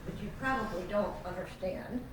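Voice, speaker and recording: A woman's voice: mid-range voice, moderate pace, heavy background noise. Chatty older woman, far off in an echoey hall. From born in Alabama, raised on Long Island. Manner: chatty, emotional, self-deprecating. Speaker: cattle farmer, retired teacher. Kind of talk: personal storytelling to an audience. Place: large meeting hall. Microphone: far room mic.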